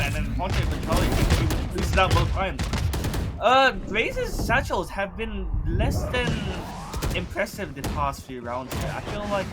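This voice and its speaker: A man giving casual, analytical color commentary on an esports match. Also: high voice. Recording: noisy background.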